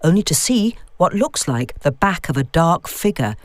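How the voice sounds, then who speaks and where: A woman narrates a scripted story in a measured, expressive way. Her pitch moves freely and keeps the reading animated. The recording is very dry and close, with no sense of a room. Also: no room sound. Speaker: audiobook narrator.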